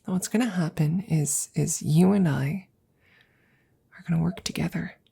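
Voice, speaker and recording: A woman playing an anxious, devoted scientist in an ASMR audio roleplay, speaking in a breathy, gravelly, intimate near-whisper with halting stammers. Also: on the low side, dark tone, heavily acted. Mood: earnest, reassuring. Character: anxious, devoted female scientist tending her best friend.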